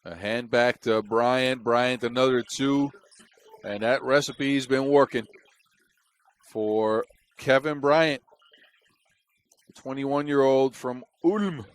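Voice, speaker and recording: A man's voice: medium pitch, steady tone, slow pace, pauses often, clear, resonant tone. A man from the Netherlands with a Dutch accent, giving chatty, wry play-by-play basketball commentary on a close mic. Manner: chatty and wry, with jokes between plays.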